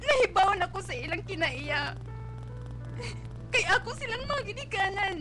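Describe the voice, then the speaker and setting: A young pregnant daughter in a radio melodrama. Her voice is extremely high, tearful and pleading, with stubborn defiance in it. Music plays behind her. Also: big pitch swings, moderate pace, bright, forceful tone, heavily acted. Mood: anguished, tearful. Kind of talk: melodramatic Philippine radio drama acting. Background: dramatic music.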